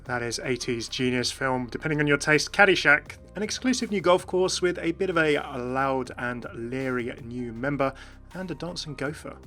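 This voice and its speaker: A man's voice: medium pitch, normal speed, bright, forceful tone. A man hosting a gaming channel, giving enthusiast commentary as he presents game voice clips. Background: background music.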